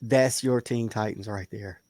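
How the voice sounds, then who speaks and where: A man's voice: lowish voice, steady tone, unhurried, warm tone, no echo. A man co-hosting a casual debate, arguing bluntly and insistently and repeating himself for emphasis. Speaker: panel show co-host.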